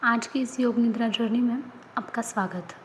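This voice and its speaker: A woman leading a guided yoga nidra relaxation, speaking in soft, often whispered instructions. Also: a little high, steady tone, normal speed, a little hiss or hum. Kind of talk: guided meditation.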